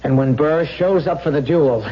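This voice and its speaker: A man's voice: fairly high pitch, moderate pace, close to an everyday voice. An actor playing a determined lawman in a 1970s radio drama. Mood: resolute. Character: determined male lawman set on arresting a killer. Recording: dull 1970s radio recording.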